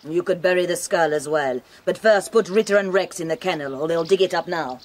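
An actress playing a brisk, businesslike hotel proprietress in a radio drama, matter-of-fact and commanding. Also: female voice, lowish voice, lightly acted.

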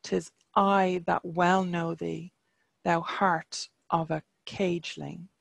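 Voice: medium pitch, little pitch movement, slow, gravelly, fairly bright tone, dry recording, heavily acted. A reader voicing a proud, scornful male warrior champion, reciting archaic taunting verse.

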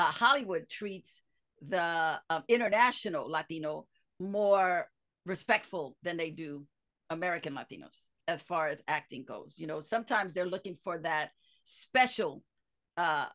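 A woman's voice: lowish voice, expressive, a little slow, fairly bright tone. A woman hosting an interview over a headset from her home office, eager and enthusiastic. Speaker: podcast host.

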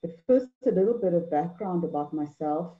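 A middle-aged woman from Mauritius gives an online talk on a video call, measured, with many fillers and repeated words. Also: on the low side, expressive. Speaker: anthropologist and poet speaker. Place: indoor room at home. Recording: dull lo-fi video call audio.

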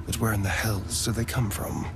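A man's voice: on the low side, flat delivery, a little fast, background noise, close to an everyday voice. A man voicing a haughty, theatrical vampire rogue in a video game, with arch, precise, dramatic delivery. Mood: wary awe.

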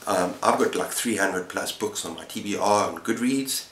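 A middle-aged man chatting casually to camera as a book vlogger, speaking haltingly with short pauses. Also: on the low side, fairly bright tone. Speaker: book vlogger, channel host. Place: book-lined room at home, indoors. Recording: roomy, echoing indoor sound.

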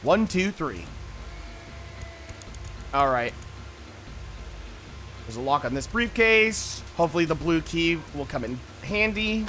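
A man's voice: a little high, expressive, takes it slowly, long pauses, bright, forceful tone, soft background music. A man streaming a puzzle adventure game, casually commenting, reading text aloud and muttering to himself.

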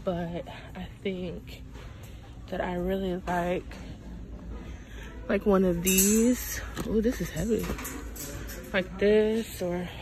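A woman's voice: mid-range voice, poor audio. A woman, chatting and thinking aloud while filming a shopping vlog on a phone in a pet store. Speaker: vlogger. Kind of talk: casual shopping vlog chat. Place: pet store aisle, indoors. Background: store music playing.

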